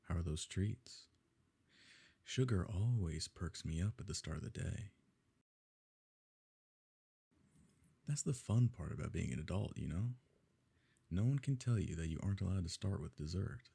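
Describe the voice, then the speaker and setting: Man acting a low, gravelly, smug evil nobleman. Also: lively intonation, normal speed, warm tone, heavily acted. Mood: smug, businesslike. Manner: suave, condescending, leisurely formal phrasing. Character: smug, wealthy evil nobleman, condescending and calculating. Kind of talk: intimate ASMR roleplay drama.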